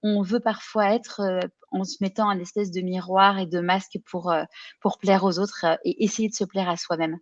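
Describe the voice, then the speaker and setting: A woman's voice: mid-range voice, fairly even intonation, moderate pace, no room sound, highs cut off. A woman of forty from Paris, with an accent from France, telling her story in an interview in short, hesitant phrases. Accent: French from France. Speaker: podcast guest, career coach and entrepreneur. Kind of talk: personal account in a conversational interview.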